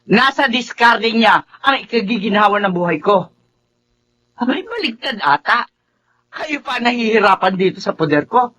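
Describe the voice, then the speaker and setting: A woman's voice: on the high side, expressive, normal speed, fairly bright tone. An actress playing an older, money-minded Filipino mother-in-law in a Tagalog radio drama, with a melodramatic delivery. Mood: scornful. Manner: scolding, melodramatic. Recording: music under the voice.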